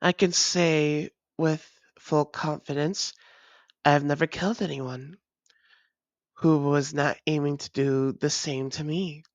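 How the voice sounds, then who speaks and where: A weary vampire played by a voice actor speaks very slowly and formally to a long-lost lover. The delivery is halting and guarded, like an intimate confession in an audio drama. The sound is dry and close. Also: medium pitch, fairly even intonation, no echo, dull audio. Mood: regretful, grave. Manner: measured, halting, formal old-fashioned phrasing. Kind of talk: intimate scripted roleplay audio drama monologue.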